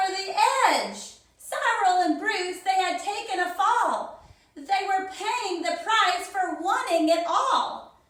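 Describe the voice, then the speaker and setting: A woman reading a rhyming children's story aloud with theatrical, deliberate phrasing. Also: very high-pitched, a bit bright. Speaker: teacher reading a picture book to children. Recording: very echoey, reverberant room.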